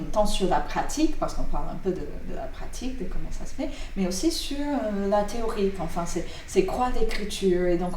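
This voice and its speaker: A woman's voice: mid-range voice, warm tone. A woman interviewer asking long, winding questions with many fillers. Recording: distant, roomy, with faint noise.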